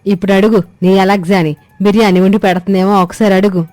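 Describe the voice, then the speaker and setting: A woman's voice: medium pitch, steady tone, quick pace, mellow, no room sound, natural voice. Woman doing a bossy old village mother-in-law, scolding, earthy talk, cartoon story, music behind, dry sound. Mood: mocking.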